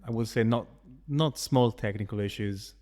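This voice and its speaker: A man's voice: on the low side, normal speed, a little mellow. Guy hosting a chat podcast, easygoing and jokey, lingering reverb. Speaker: podcast host. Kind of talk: casual chat. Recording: long reverb.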